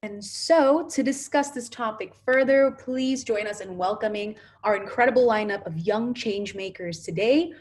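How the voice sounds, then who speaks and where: A woman's voice: medium pitch, normal speed, few pauses. Upbeat woman hosting a video call panel. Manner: upbeat, filler-heavy with "you know" and "right?". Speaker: host.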